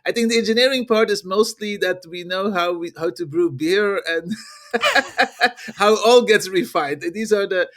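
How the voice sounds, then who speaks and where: This is a middle-aged man from Belgium, speaking in a notably high voice as he answers questions. He talks in a lively way and chuckles between his points. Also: unhurried. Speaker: marketing professor, guest. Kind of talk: interview answers.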